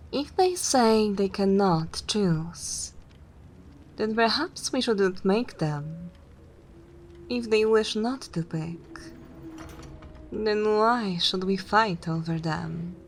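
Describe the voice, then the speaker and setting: A woman playing a haughty, teasing vampire mistress in an ASMR roleplay, speaking formally and imperiously in a soft, breathy way. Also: medium pitch, very slow, long pauses, a little hiss or hum. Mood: persuasive. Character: haughty, teasing female vampire mistress, possessive but willing to share.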